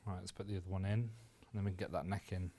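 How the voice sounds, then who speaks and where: A British man demonstrating sewing on a live show, talking in a calm, soft way. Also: sits low, racing, a little hiss or hum. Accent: British English. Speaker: sewing pattern designer guest. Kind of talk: casual demonstration chat.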